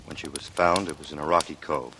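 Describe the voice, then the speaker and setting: An actor playing a grave, steady police detective in a 1940s radio drama, measured and somber. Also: male voice, very deep voice. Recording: noisy vintage radio recording with clicks.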